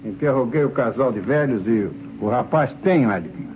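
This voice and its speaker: An actor playing a matter-of-fact police officer with a Brazilian accent, reporting findings in an old radio detective drama. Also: male voice, mid-range voice, expressive, normal speed, a little mellow, natural voice. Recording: dull old radio recording, faint noise.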